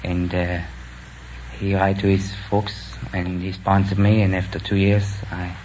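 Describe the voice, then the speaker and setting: Deep-voiced young German man on old radio. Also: level tone, a little mellow. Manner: earnest, halting. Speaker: quiz show contestant. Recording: dull, noisy vintage radio recording.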